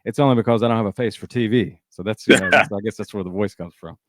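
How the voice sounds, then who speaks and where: Male podcast host, fast casual delivery, lowish pitch, dry sound. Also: fairly bright tone, no echo. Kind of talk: casual interview.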